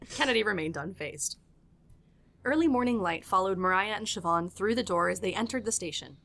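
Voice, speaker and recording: A woman's voice: medium pitch, normal speed, vocal fry, a bit bright. A woman narrating a scripted audio drama as its storyteller.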